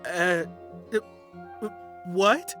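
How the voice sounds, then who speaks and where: A woman's voice: fairly low pitch, extremely slow, fairly bright tone, faint music behind. A woman acting a timid, superstitious client in a video game, speaking in a hesitant, polite and earnest way. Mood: bewildered.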